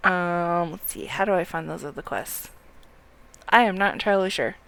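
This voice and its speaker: A woman's voice: on the low side, normal speed, a bit bright. A woman game streamer giving casual live gameplay commentary in a chatty, self-deprecating way.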